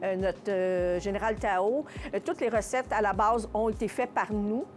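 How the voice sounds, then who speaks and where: A woman with a Quebec French accent giving casual interview answers as a shop owner, haltingly searching for her words. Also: mid-range voice, slight background noise. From Contrecœur, Quebec, Canada. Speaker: small business owner being interviewed.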